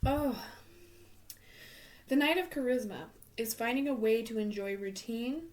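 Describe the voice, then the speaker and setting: A woman giving a casual tarot reading, losing her voice, with many pauses and ums. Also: on the high side. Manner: relaxed, many ums, repeats words. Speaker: tarot reader.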